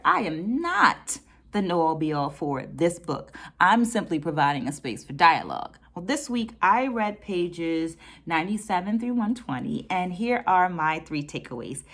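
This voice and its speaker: A middle-aged American woman reflecting on a book for her viewers in a measured, deliberate way. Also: fairly low pitch. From United States. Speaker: dance educator hosting a book discussion.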